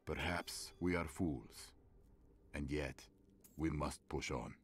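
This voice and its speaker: A man playing a weary, foreboding adventurer reading out a journal in a fantasy game, with a grave, measured delivery. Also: breathy edge, full character voice. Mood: grim resolve. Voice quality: very deep. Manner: slow, grave. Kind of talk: dramatic game journal narration. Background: music.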